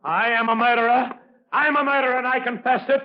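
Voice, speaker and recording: A man's voice: extremely high pitch, fairly even intonation, fast, a little hiss or hum. An actor playing an unhinged middle-aged man acting out a guilt-crazed murderer, declaiming feverishly and repetitively in old radio thriller style. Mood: anguished confession. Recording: muffled old radio broadcast recording.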